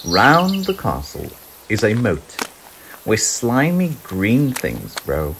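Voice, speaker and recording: A narrator reading a children's picture book aloud in a dramatic, suspenseful, expressive way with deliberate phrasing. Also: medium pitch, noisy background. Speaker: storybook narrator.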